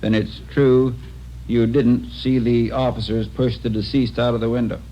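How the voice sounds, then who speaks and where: An actor playing a dry, official coroner questioning in a formal, impersonal way in a 1950s radio drama. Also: male voice, mid-range voice, little pitch movement, moderate pace, close to an everyday voice. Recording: muffled, noisy old radio recording.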